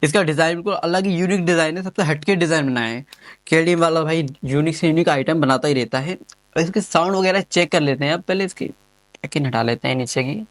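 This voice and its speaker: A man's voice: a little high, quick pace, no room sound. A man giving casual, informal unboxing commentary as an enthusiastic gadget reviewer, often repeating his phrases.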